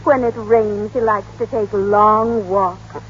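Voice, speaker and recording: A woman's voice: mid-range voice, steady tone, slow, lightly acted. An actress playing a motherly, chatty, folksy old small-town housekeeper in a 1930s radio drama. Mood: chatty, proud. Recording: dull, noisy old radio recording.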